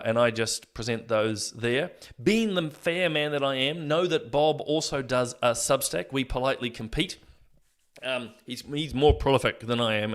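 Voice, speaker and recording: A man hosting an opinion commentary show, earnest and morally indignant, rambling with fillers and going a little hoarse. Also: mid-range voice, a bit bright. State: losing his voice. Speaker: host.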